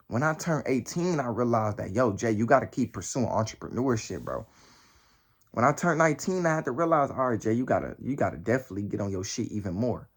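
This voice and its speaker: A young man of nineteen talking straight to his viewers in a motivational vlog. He hammers the same phrases over and over, full of slang and cursing. His voice jumps up high and gets loud whenever he drives a point home. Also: breathy edge. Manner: emphatic and repetitive, heavy slang and swearing; voice climbs high and loud when pressing a point. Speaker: motivational vlogger. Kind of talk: motivational talk to camera.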